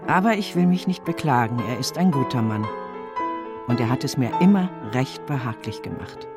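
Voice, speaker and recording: An actress playing a loving, plain-hearted elderly nursemaid, speaking in a tender, homely way in a radio play. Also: female voice, extremely low pitch, lively intonation, moderate pace, music in the background. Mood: tender, uncomplaining.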